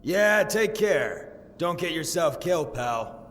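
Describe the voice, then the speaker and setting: An actor playing a brash, foul-mouthed, battle-hungry warrior in a fantasy audio drama. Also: male voice, a little high, expressive, slow. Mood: cocky, blunt. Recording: long reverb, loud music.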